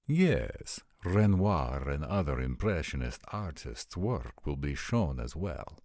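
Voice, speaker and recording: A courteous vampire prince played by a man in an audio drama. His voice is low and his speech formal and guarded. The sound is dry and intimate. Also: sing-song, a little mellow, no room sound, heavily acted. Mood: courteous, earnest. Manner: formal, polite, measured. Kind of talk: roleplay audio drama acting.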